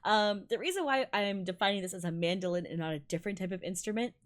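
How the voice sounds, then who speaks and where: A young adult woman whose first languages are Malayalam and Tamil, a violinist giving a bubbly, excitable casual breakdown of music and laughing often. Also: medium pitch, quick pace. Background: faint music playing.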